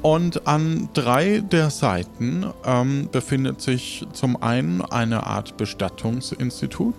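A man with a medium-pitched voice guides an improvised adventure game in a casual tone. He feels his way through the descriptions, hesitating as he looks for words, over faint background music. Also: slow, vocal fry. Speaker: game master of an improvised audio play. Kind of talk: improvised narration and casual role-play.

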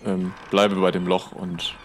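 A man's voice: deep voice, monotone, a little slow, a little hiss or hum. A man role-playing casually in an improvised adventure game, tentatively thinking aloud as a player.

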